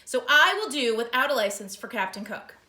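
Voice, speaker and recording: A woman's voice: high-pitched, lively intonation, a little fast, sharp tone, voice pushed up. A woman voicing an earnest, eager family man who is a house painter, recorded in an echoey room.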